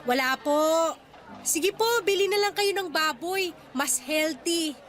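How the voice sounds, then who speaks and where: Feisty young female fish seller, radio drama; very high, forceful, coaxing yet defiant. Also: faint music behind, exaggerated voice. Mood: indignant. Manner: pleading sales talk, quick to talk back. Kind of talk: Tagalog radio drama acting.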